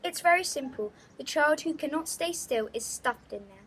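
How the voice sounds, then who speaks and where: Woman putting on an eager, bright-voiced lady inventor. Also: sits high, animated, normal speed, a little hiss or hum, pitched above the performer's own voice. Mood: hurried, eager. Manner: eager, deferential, explanatory. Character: proud, eager lady science teacher and inventor.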